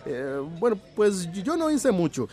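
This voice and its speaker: An actor playing a modest, generous village shopkeeper in a radio drama. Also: male voice, high-pitched, big pitch swings, unhurried, darkish tone, soft background music. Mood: approving.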